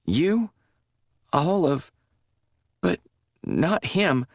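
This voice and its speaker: A woman's voice: sits low, sing-song, extremely slow, no echo, clean studio sound, voice pushed up, heavily acted. A man reading a story, voicing a distraught woman who is crying and rambling in broken-off sentences. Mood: confused. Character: unhinged dying woman, bitter and grieving.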